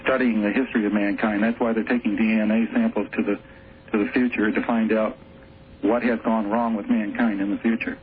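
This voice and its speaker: A man calling in to a radio show, stating his theory with flat certainty. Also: on the low side, brisk. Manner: assured, declarative. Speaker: caller. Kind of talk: call-in theory. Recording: tinny phone call with faint hiss.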